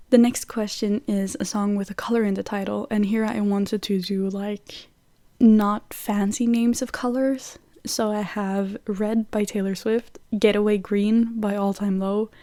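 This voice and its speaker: A woman's voice: mid-range voice, dark tone, dry recording. A young woman from Norway with a Norwegian accent, chatting casually and listing songs with lots of "like" fillers. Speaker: vlogger. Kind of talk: casual chat.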